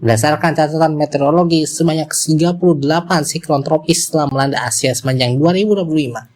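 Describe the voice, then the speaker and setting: A man's voice: a little high, quick pace, a little mellow. A man with an Indonesian accent reading scripted news as a presenter, moving through formal bulletin copy.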